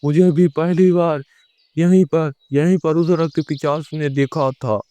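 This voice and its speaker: A man acting a slow, mellow, grave villager. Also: on the high side, steady tone, clear, resonant tone, close to an everyday voice. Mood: confused. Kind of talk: animated horror story dialogue.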